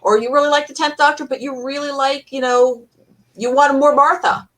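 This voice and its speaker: Chatty, higher-pitched woman hosting a panel. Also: fairly even intonation, normal speed, a bit bright. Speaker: panel host. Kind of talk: casual fan panel discussion.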